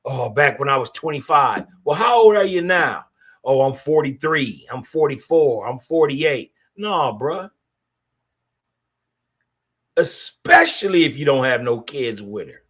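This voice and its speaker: A man's voice: medium pitch, lively intonation, a little slow, a bit bright. A middle-aged man, hosting a podcast and speaking bluntly in a streetwise, opinionated way. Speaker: podcast host. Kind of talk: casual monologue podcast.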